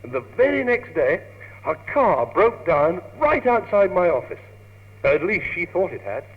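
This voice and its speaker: An actor with a British accent playing a naive, lovestruck young gentleman in a radio comedy, stammering earnestly. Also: high-pitched, big pitch swings, unhurried, slightly rough, exaggerated voice. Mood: eager. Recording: tinny, hissy, humming old radio tape, reverberant.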